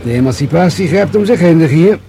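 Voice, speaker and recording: An actor playing a wry, fatherly police commissioner in a radio drama, speaking in a dry, deliberate, pondering way. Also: on the high side, animated, dark tone, a little hiss or hum. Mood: musing, wry. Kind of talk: Dutch radio drama dialogue.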